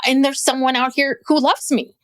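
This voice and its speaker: A woman co-hosting a casual podcast, talking in animated bursts with frequent restarts and laughter. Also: sits high, moderate pace, no echo. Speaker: podcast co-host.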